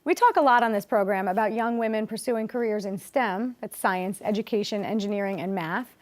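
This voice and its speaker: A woman's voice: mid-range voice, a little fast. A woman hosting a talk show, interviewing a guest in a friendly, chatty way.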